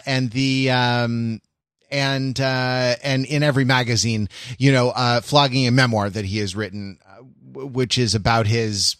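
A man hosting a casual discussion podcast, talking in a halting, wry way with touches of mock formality. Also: mid-range voice, fairly bright tone, no echo, clean studio sound. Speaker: podcast host. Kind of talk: casual chat, moderating a discussion.

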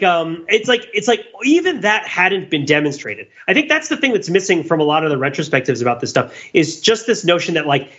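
A man's voice: a little high, a bit bright, highs cut off. A man co-hosting a podcast in casual, analytical discussion, making points emphatically and often checking in with "right?". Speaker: podcast co-host.

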